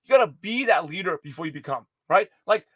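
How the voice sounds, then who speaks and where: A man giving an energetic livestream pep talk to his viewers, his voice hoarse and slightly raspy, rambling with many restarts and self-corrections. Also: high voice, quick pace, dry recording, studio quality. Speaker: motivational speaker giving a daily pep talk.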